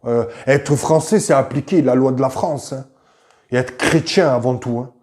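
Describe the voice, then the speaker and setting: A man's voice: medium pitch, normal speed, darkish tone. A man giving informal, argumentative commentary, confronting the listener directly and repeating himself for emphasis. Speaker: YouTube commentator.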